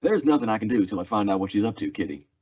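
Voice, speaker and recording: A man's voice: fairly low pitch, very animated, racing, a little mellow, breathy edge. A man acting a weary, stoic frontier marshal in an old-time radio western drama. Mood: calm, stoic. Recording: dull, lo-fi audio.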